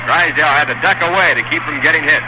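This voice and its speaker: A male announcer giving steady, measured play-by-play of a baseball game on a 1960s radio broadcast, rising when the action picks up. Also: fairly high pitch, heavy background noise. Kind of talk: live baseball radio commentary. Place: ballpark broadcast booth.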